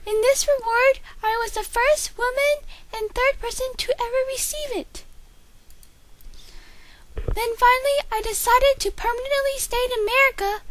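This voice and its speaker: A woman's voice: extremely high pitch, noisy background. A teenage girl voicing a woman author who recounts her life in a plainly read school report. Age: teens. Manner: plain, steady reading of a report. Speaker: student. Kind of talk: school project doll play.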